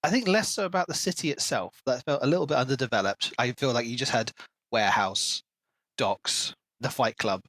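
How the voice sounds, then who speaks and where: A man's voice: mid-range voice, brisk, a bit bright, no echo. A man hosting a podcast, casually discussing a book in an analytical way and explaining his points at length. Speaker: podcast host.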